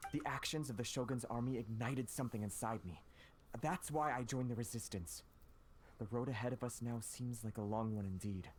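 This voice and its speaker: An actor playing an earnest, reflective everyday citizen turned rebel recruit in a video game. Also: male voice, mid-range voice, a little mellow, a little hiss or hum, lightly acted.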